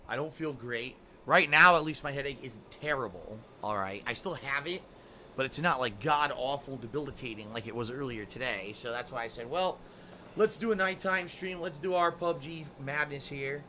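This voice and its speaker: A man's voice: mid-range voice, bright, forceful tone, a little hiss or hum. A middle-aged man streaming games live, rambling and complaining in a casual monologue full of fillers. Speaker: game streamer.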